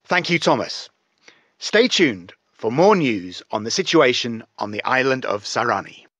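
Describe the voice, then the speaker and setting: A serious TV news anchor for a video game. He reads scripted bulletins formally and with measured emphasis. His pitch moves around a great deal, and the sound is very dry. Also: big pitch swings, a bit bright, no echo, full character voice. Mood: grave, formal.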